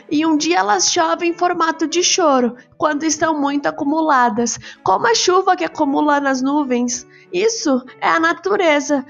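A gentle, reflective mother acted by a woman narrator with a high voice, explaining things to her child in an audio storybook, over music. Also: vocal fry, dull audio. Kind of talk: children's bedtime story dialogue.

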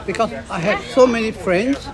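Elderly Malaysian-accented man amid loud chatter. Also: sits high, normal speed, over music. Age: 70s. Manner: repeats his words. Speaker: interview guest. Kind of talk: casual conversation. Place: outdoors at a park gazebo gathering. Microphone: phone held by the interviewer. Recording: loud outdoor crowd chatter.

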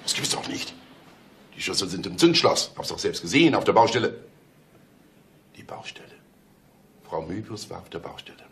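An actor in a radio drama playing a grumpy, impatient police chief who snaps orders sarcastically. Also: male voice, low voice, expressive, moderate pace, pauses often, in a whisper. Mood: brusque, commanding. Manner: bossy, snapping, sarcastic. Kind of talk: German radio drama acting.

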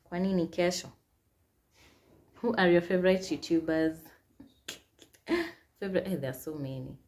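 A woman's voice: lowish voice, normal speed, darkish tone. A young Kenyan woman in her twenties, with a Kenyan accent, casually reading out get-to-know-me questions and answering them, with Swahili phrases mixed in. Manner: chatty, reads questions aloud then answers, mixes in Swahili phrases. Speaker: YouTuber. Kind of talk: casual Q&A vlog. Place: small indoor room, seated at a plain wall.

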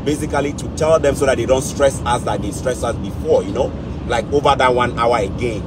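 A man's voice: mid-range voice, brisk. A middle-aged man telling a story casually while driving, acting out the dialogue with quoted voices. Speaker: vlogger. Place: car on a snowy highway. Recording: clear car road noise. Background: road and engine noise.

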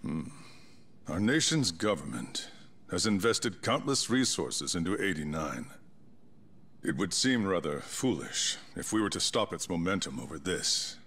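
A man playing a composed, calculating middle-aged chief prosecutor in a video game drama, speaking in a measured, formal, authoritative way. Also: low-pitched, sing-song, fairly bright tone, husky, heavily acted. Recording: music under the dialogue.

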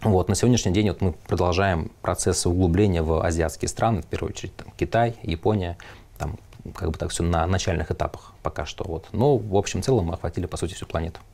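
A man in his thirties with a very low voice answers interview questions. He explains conversationally, laying out his points one after another. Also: quick pace, darkish tone. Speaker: company representative interviewee. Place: merchandise showroom, standing.

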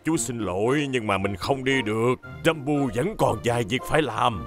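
A man's voice: medium pitch, moderate pace, music in the background, lightly acted. A man playing a kindly, fatherly older builder in a dubbed children's cartoon. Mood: apologetic, instructive.

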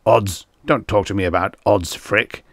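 A man voicing a chubby, domineering TV producer in an audiobook, rumbling, petulant and menacing. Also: fairly even intonation, a little slow, vocal fry, no echo, clean studio sound. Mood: petulant, scornful. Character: chubby, irritable, domineering male TV producer boss. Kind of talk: acted audiobook dialogue.

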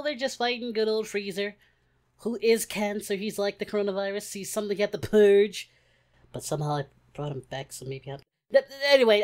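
A boyish, cheerful anime hero hosts a reaction video, voiced by a woman. The delivery is playful and mock-dramatic, leaping around in pitch and full of slangy exclamations. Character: cheerful young wolf-eared Goku, male anime hero hosting.

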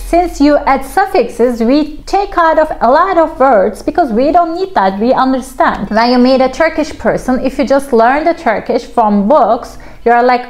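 A woman in her thirties teaching a casual video lesson at home, animated and playful with lots of gestures. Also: high-pitched. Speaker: language teacher. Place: home room with plants.

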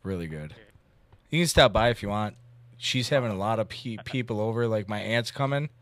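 A man in his thirties hosting a comedy podcast, chatting casually in a rambling, halting way with crude humor. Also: lowish voice, lively intonation, no echo. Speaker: comedy podcast host making a prank call. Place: indoor room at a desk.

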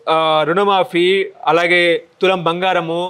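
A man's voice: high voice, little pitch movement, measured pace, fairly bright tone, clean tone. A young man interviewing, putting pointed questions to a guest outdoors.

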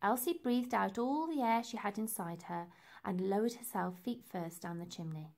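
A woman's voice: mid-range voice, normal speed, gravelly, a little mellow. A woman reading a children's story aloud as narrator, with dramatic, emphatic phrasing. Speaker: storybook reader.

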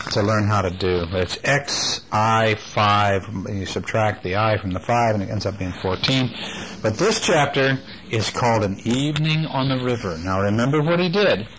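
An older man reading a children's book aloud at home and chatting gently with a child between passages. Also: fairly low pitch, normal speed, noisy background. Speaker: father reading a bedtime story. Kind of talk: bedtime story reading to a child.

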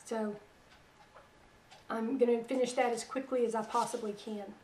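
A middle-aged woman hosting religious internet radio. Her voice is weak after a stroke, and she talks in a soft, plain-spoken, unhurried way. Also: fairly high pitch, fairly even intonation, reverberant, distant mic, a little hiss or hum, low-quality recording. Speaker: radio host. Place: small room at home, indoors. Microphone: headset.

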